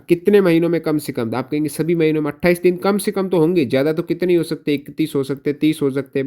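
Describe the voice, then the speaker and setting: A man with a soft, mellow voice at a medium pitch teaches an exam review lesson. He poses questions and then repeats the answers for emphasis, dropping English technical terms into his explanations.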